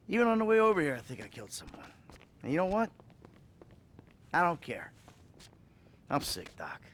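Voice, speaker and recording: An actor playing a troubled, foul-mouthed middle-aged American criminal in a video game cutscene, halting and wry. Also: male voice, a little high, huge pitch swings, a bit bright, a little hiss or hum, exaggerated voice. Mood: confessional, anguished.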